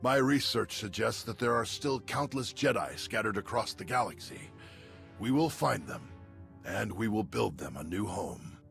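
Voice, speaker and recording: An actor playing a wise, serene scholarly Jedi master in a video game, measured and formal. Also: male voice, on the low side, animated, creaky, fairly bright tone, faint music behind. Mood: earnest, resolute. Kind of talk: scripted video game acting.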